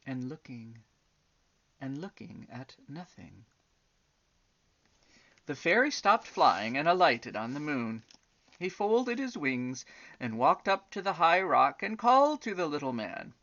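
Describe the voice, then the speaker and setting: A woman's voice: low-pitched, fairly bright tone, highs cut off. A woman reading a children's story aloud expressively, drawing out words with theatrical emphasis. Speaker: storybook reader. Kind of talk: expressive storytelling with chatty asides to young listeners.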